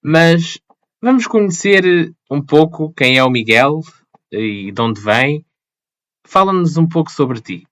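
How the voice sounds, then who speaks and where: A man from Portugal hosting a podcast, reading a clear introduction and asking the guest questions. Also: a little high, animated, takes it slowly, a bit bright, no room sound, clean studio sound. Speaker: podcast host. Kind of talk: scripted intro, then interview questions.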